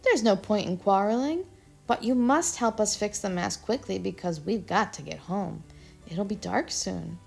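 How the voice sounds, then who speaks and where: A small, sensible little acorn boy, as a woman reads him, calm and forgiving. His voice stays close to her own, slightly gravelly, with a gentle lift. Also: mid-range voice, normal speed, faint music behind.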